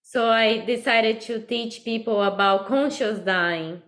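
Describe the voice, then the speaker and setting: Earnest woman, fairly high voice. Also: fairly even intonation, slow pace, a bit bright. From Brazil. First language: Portuguese. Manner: earnest, reflective, laughs lightly while talking. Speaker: guest, birth and death doula. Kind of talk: casual interview talk.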